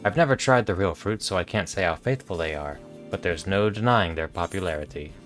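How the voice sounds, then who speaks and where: Guy streaming a game read-aloud, lowish monotone voice, bit of music in the back. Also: fairly quick. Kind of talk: read-aloud of video game dialogue with casual asides.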